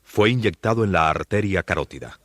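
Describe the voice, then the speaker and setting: A man's voice: low voice, animated, brisk, fairly bright tone, no echo. A man narrating a suspense radio drama as a grave, solemn storyteller. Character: grave, solemn male storyteller of a science fiction tale. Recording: music behind the voice.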